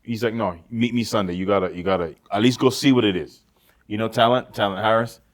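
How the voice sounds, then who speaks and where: A man with a Caribbean accent who was raised in Barbados, co-hosting a casual chat and telling animated stories with many restarts and repeated words. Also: lowish voice, fast. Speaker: podcast co-host.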